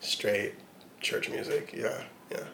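A man in his twenties from Oregon, answering interview questions in a relaxed, hesitant way with frequent ums and likes. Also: slow, slight background noise, rough recording. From Portland, Oregon, USA. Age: 20s.

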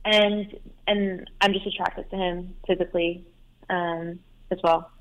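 A woman's voice: on the low side, level tone, unhurried. A young adult woman whose first language is Farsi, calling in to an advice show and talking hesitantly with many fillers and restarts. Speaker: caller. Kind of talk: call-in advice talk. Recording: tinny phone line.

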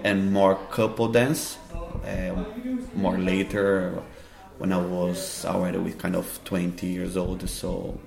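A man with a Brazilian Portuguese accent, answering interview questions in a halting way as he searches for words. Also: sits low, completely flat delivery, creaky, echoey room, over music. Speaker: dance teacher and festival organizer.

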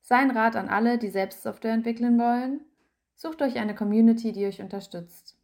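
A woman narrating a written article aloud in an even, measured reading style. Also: fairly high pitch. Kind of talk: scripted narration.

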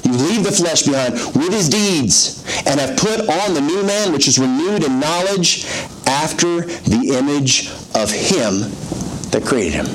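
A pastor with a soothing voice, preaching a conversational sermon with self-deprecating jokes in a church service. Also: male voice, on the high side, expressive, unhurried, rough recording.

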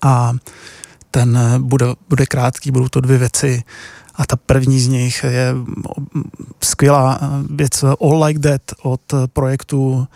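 A man with a Czech accent presenting a music radio show casually and hesitantly, with many filled pauses. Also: medium pitch, level tone, vocal fry, a little mellow, no room sound, studio quality.

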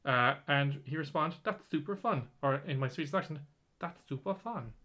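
A man's voice: a little high, lively intonation, normal speed, pushed and bright. A man co-hosting a podcast, reading out a news story in casual chat, rambling with many restarts. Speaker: podcast co-host.

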